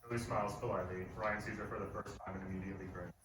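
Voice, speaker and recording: A man's voice: fairly low pitch, fairly even intonation, racing. A man narrating stage directions from a script at a live table read. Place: live performance venue. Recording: very echoey, distant, noisy live recording.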